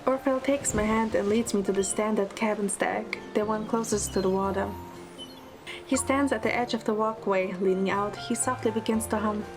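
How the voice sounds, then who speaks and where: A woman's voice: medium pitch, normal speed, close to an everyday voice. A woman playing a playful, teasing young heroine who narrates her story in an audio drama, in the first person. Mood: gentle narration. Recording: music under the voice. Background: background music.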